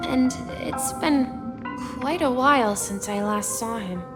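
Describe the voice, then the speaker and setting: A woman's voice: on the high side, takes it slowly. A woman voicing an earnest, wistful young boy who dreams of adventure, in a video game. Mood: fond, wistful. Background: background music.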